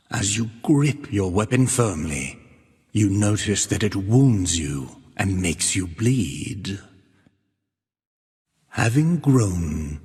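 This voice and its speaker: An actor playing a solemn, devout penitent who narrates and bears witness in archaic, measured speech in a video game. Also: male voice, lowish voice, a little mellow, slightly rough, heavily acted. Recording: long cavernous reverb.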